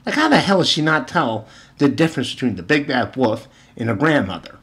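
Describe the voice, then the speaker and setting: A man's voice: mid-range voice, very animated, fairly quick, a bit bright. A man giving informal opinion commentary and retelling stories, with exasperated, sarcastic emphasis and rhetorical questions. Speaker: video commentator.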